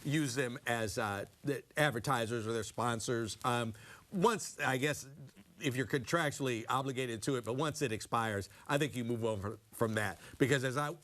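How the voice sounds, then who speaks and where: A man's voice: medium pitch, moderate pace, a bit bright. A man giving his opinion as a panelist on a talk show, conversational and rephrasing as he goes.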